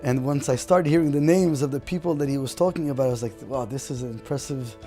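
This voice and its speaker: A man's voice: medium pitch, fast, warm tone. A man from Canada who grew up speaking Spanish at home, telling his story in a testimonial. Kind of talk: promotional video testimonial. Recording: music playing behind the voice.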